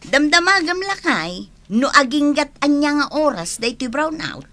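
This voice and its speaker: Sharp, high-voiced Ilocano mother. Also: sing-song, normal speed, exaggerated voice. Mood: nagging. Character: nagging, hard-pressed mother of the household. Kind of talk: Filipino radio comedy drama acting.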